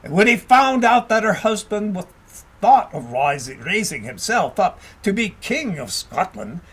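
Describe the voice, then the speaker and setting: Slow, very animated husky male storyteller. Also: sits high. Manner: dramatic, expressive storytelling. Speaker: audiobook reader. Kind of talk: scripted storybook narration.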